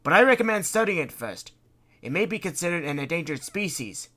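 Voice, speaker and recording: A woman's voice: on the low side, sing-song, a little fast, fairly bright tone, voice pushed up. A woman voicing a curious crewman who wants to study a strange fish. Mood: earnest. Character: curious male crewman, scientific-minded.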